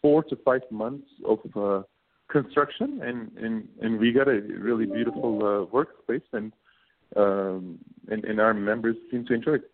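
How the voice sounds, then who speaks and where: Turkish man talking over a thin line. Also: on the low side. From Turkey. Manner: halting, many fillers and repeated words. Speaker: guest, business co-founder. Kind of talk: casual interview talk. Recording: phone-like narrow band.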